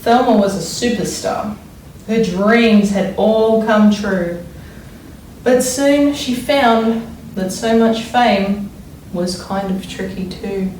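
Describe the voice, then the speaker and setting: A woman reads aloud to young children like a primary school teacher, with dramatic emphasis and thoughtful explanations. Her pitch is average for a woman. The sound is distant and strongly echoey, with faint noise behind her. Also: darkish tone. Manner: deliberate, wondering aloud, dramatic emphasis when reading. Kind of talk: expressive children's read-aloud with think-aloud explanations.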